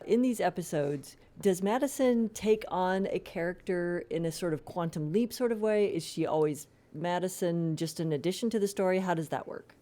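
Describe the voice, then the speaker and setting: A woman with a slightly low-pitched voice conducts a casual interview. She puts questions conversationally and answers with brief, easy affirmations. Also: normal speed, few pauses, mellow.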